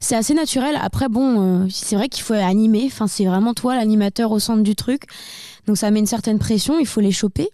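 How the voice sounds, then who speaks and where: A woman's voice: a little high, fairly quick, dark tone, dry recording, clean studio sound. A young woman from Burgundy with a slight Burgundy French accent, a singer chatting candidly as a guest in a casual interview. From Burgundy, France. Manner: candid, talkative, many fillers and restarts.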